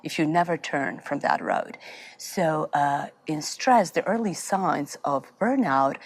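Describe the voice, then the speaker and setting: A woman from Serbia, a therapist answering interview questions, halting and searching for words. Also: sits low, sing-song, gravelly. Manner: speaks with a foreign accent, halting, searching for words. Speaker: therapist guest.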